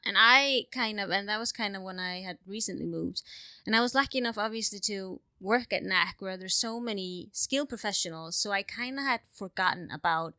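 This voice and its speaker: A woman's voice: mid-range voice, brisk, sharp tone, clear, resonant tone, no room sound. Icelandic lady guest, jokey, laughs a lot, dry close mic. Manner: joking, laughs often. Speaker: guest researcher. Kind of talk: casual interview. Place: remote studio.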